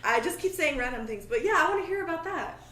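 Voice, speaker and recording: A woman's voice: high voice, animated, fast, a bit bright. A woman hosting a podcast, chatting casually in a rambling, self-deprecating way. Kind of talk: casual chat. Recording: echoey room, faint background noise.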